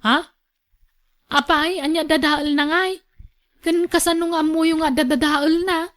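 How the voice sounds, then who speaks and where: Woman acting young filipino housemaid, really high voice, polite but nosy, radio drama. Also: steady tone, unhurried, fairly bright tone. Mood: curious. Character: polite, inquisitive younger female household helper.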